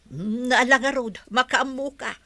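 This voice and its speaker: A woman's voice: sits high, normal speed, pushed and bright, no echo. An actress playing a middle-aged mother who fusses at her grown daughter in a radio drama. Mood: fussing.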